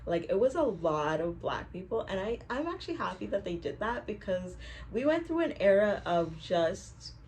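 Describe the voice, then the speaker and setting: A woman's voice: medium pitch, big pitch swings, moderate pace, darkish tone, a little hiss or hum. A woman hosting a vlog, giving a casual movie review in a very informal, conversational way. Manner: informal, peppered with "like".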